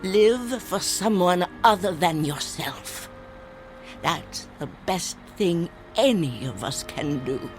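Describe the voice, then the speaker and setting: A woman's voice: fairly low pitch, lively intonation, slow pace, soft background music. An actress playing a cryptic, wise old female fortune teller in a radio drama, speaking with solemn deliberation. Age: older.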